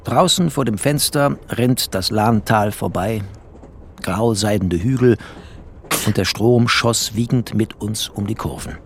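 An actor playing a bookish, wry narrator, speaking in clipped poetic phrases in a literary radio play. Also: male voice, on the low side, unhurried, slight background noise. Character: bookish, wry, lyrical male narrator, a resettler.

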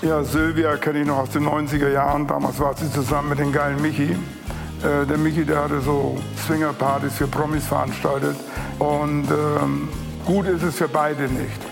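An actor playing a gossipy celebrity-scene acquaintance, talking casually and hesitantly in an interview. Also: male voice, a little high, flat delivery, normal speed, creaky, over music.

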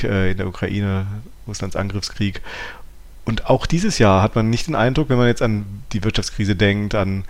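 A man co-hosting a philosophy podcast in a conversational, explanatory and reflective way. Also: on the low side. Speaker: podcast co-host.

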